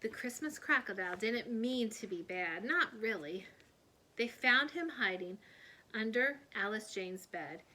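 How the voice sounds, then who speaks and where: A woman reading a children's story aloud in an expressive, animated storytelling manner. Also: mid-range voice, unhurried, poor audio. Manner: animated, putting on character voices. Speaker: read-aloud storyteller, teacher.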